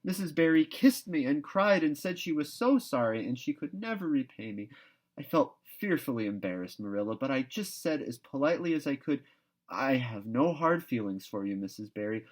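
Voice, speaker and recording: A man's voice: medium pitch, sing-song, normal speed, voice pushed up. A man voicing an exuberant, dreamy young girl who chatters effusively and grandly, in a children's novel read aloud. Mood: blissful, earnest.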